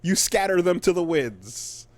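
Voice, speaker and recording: Higher-pitched man, casual podcast co-host. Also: unhurried, no room sound. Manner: animated storytelling with acted-out quotes. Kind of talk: casual, profane comedic chat about gaming news.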